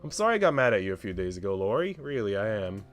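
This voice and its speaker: A man's voice: lowish voice, very animated, quick pace, soft background music, voice pushed down. Man voicing a haughty, teasing young mistress. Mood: earnest.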